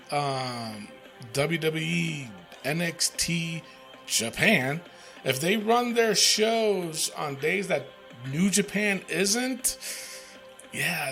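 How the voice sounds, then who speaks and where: A man's voice: fairly high pitch, expressive, takes it slowly, fairly bright tone, faint music behind. A man hosting a casual wrestling podcast, rambling with lots of fillers and the odd swear word. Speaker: podcast host.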